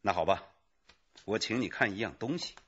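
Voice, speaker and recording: An actor playing a brash, forceful male police detective in a radio drama. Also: fairly low pitch, animated, brisk, husky, muffled recording, full character voice. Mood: stern.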